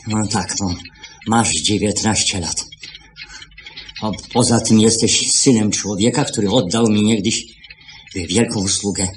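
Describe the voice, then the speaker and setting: A man's voice: lowish voice, natural voice. Man playing stern older boss in an old radio drama, stammers n repeats himself, scolding but kind underneath, bit of hiss. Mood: torn, pondering. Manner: halting, repeating words, scolding. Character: stern, agitated older watchmaker, reproachful yet kind-hearted employer. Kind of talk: Polish radio play acting. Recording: noisy 1970s radio recording.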